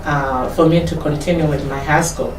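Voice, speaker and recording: A woman's voice: sits low, steady tone, moderate pace. A Kenyan woman in her thirties telling her life story in an interview, hesitant and repeating words, now and then slipping into Swahili. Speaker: guest. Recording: echoey room, mic far off, some noise.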